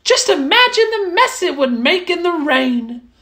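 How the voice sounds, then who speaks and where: An eager little gray mouse from a children's storybook, voiced by a woman. The voice sits very high and swings about in lively exclamations. It muses aloud to itself like a choosy house hunter. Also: unhurried, exaggerated voice. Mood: fussy, doubtful.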